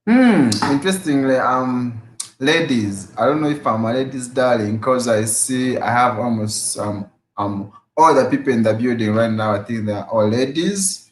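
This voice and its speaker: Energetic live-stream fitness host, man. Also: medium pitch, moderate pace. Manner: energetic, greets viewers by name, repeats phrases. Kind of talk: casual live-stream chat. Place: his room at home after work. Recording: echoey room.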